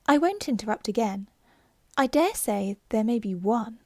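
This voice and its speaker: A woman's voice: fairly high pitch, expressive, moderate pace, no room sound, studio quality. A woman voicing a polite, curious young girl in a storybook reading, speaking earnestly with a slightly raised voice. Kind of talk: storybook character voice.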